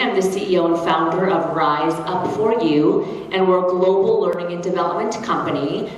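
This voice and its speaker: A woman founder introducing her company on a panel, crisp and confident, recorded far off in a large hall. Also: on the low side. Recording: roomy hall sound from far off.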